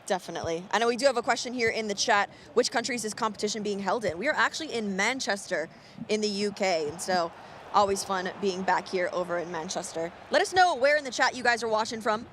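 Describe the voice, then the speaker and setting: A woman's voice: mid-range voice, animated, fast talker, a bit bright. Woman from the US, esports caster, upbeat, fluent, faint noise behind. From United States. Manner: fluent, upbeat, reads chat questions. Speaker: esports commentator. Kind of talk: live esports commentary. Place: event venue.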